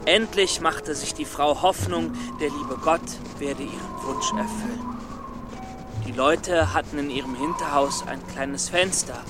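A man playing a fussy, optimistic scholar and storyteller in a children's radio play, expressive and prone to stammer. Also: fairly high pitch, measured pace, loud music behind the voice, close to an everyday voice. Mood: storytelling.

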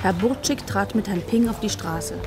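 A woman's voice: medium pitch, moderate pace, over music. A woman narrator reading a scripted children's story for a radio play.

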